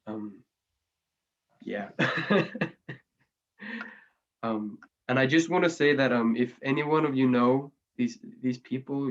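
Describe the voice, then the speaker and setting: Slow, halting young man from Norway. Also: mid-range voice, muffled recording. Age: young adult. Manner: halting, hesitant, restarts often. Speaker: youth panelist. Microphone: video call.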